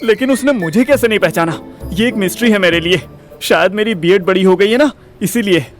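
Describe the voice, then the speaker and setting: A man's voice: high voice, fairly quick, faint music behind, lightly acted. A man playing a frustrated, jokey, protective young boyfriend in an audio drama, talking in slangy Hinglish. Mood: exasperated. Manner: slangy Hinglish, exasperated, wisecracking.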